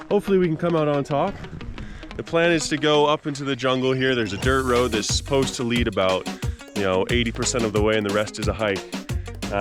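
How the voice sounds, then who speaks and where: Man from canada, travel vlogger, chatty and upbeat, middle pitch, clear music underneath. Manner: upbeat, chatty, frequent "uh" fillers. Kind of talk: casual travel narration. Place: outdoors on a mountain dirt road.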